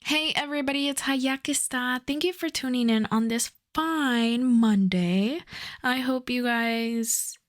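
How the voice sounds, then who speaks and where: A woman's voice: sits high, a little slow, dry recording. A woman who makes audio roleplays, speaking casually and directly to her listeners in an outro.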